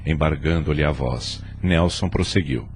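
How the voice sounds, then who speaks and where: A man's voice: rumbling low, a little slow, slight background noise. A man narrating an audio novela in a dramatic scripted storytelling style.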